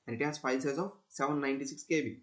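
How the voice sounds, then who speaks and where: A man narrating a step-by-step software demonstration in a halting, repetitive way. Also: medium pitch. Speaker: student presenter demonstrating software. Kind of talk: screen-recorded tutorial demonstration.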